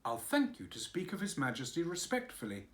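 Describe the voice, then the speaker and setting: A brisk, scolding little old nurse, as a man reads her for a storybook. She talks in a raised, lively voice that jumps up and down and snaps out orders. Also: fairly high pitch, voice pushed up. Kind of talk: storybook reading.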